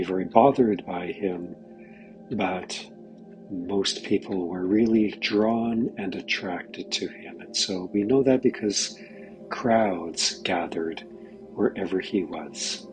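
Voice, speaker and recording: Slow, low-voiced man leading meditation. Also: rough recording. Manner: gentle, unhurried, pausing mid-phrase. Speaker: guided meditation leader. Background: background music.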